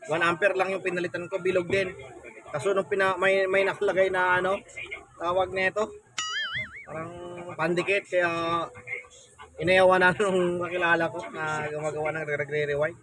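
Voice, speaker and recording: A man's voice: high voice, level tone, normal speed, pushed and bright, rough recording. A man with a Filipino accent casually narrating a repair tutorial, chatting informally to viewers as a repair vlogger. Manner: informal, addresses viewers chummily.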